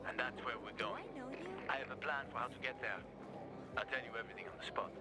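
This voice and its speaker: An actor voicing a breezy, familiar man with a taste for parties and a fast-talking manner, in video game dialogue. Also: medium pitch, fairly even intonation, heavy background noise, close to an everyday voice. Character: breezy male party-loving schemer.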